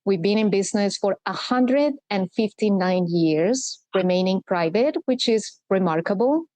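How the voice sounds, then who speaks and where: A woman from Colombia, in her forties, talks as a podcast guest. Her pitch sits in the middle for a woman. She explains things in an earnest, measured way. The recording is dry and close, with almost no room sound. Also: lively intonation, dark tone, no echo. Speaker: guest, corporate sustainability executive. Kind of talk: podcast interview.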